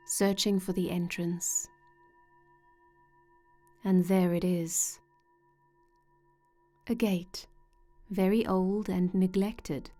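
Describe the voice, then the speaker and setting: A woman leading a scripted guided meditation in a gentle, soothing way with measured pauses. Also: mid-range voice. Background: soft meditation music with singing-bowl tones.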